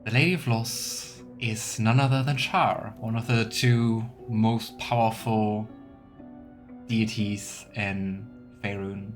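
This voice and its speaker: Let's play host: low male voice, gaming commentary and read-aloud game text, faint music underneath. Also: steady tone, slow. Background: soft game music.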